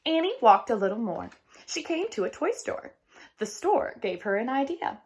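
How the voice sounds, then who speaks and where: Lady teacher doing a kids read aloud, high pitched, breathy, talks right to the kids. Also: normal speed. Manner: animated, addresses young listeners directly. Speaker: teacher reading aloud to children. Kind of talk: expressive picture-book read-aloud.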